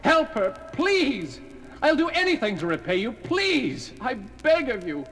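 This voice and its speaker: An actor playing a frantic young baron scientist, overwrought and pleading. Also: male voice, extremely high pitch, very animated, unhurried, a bit bright, full character voice. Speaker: hero. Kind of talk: 1970s record-album audio drama. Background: dramatic music score.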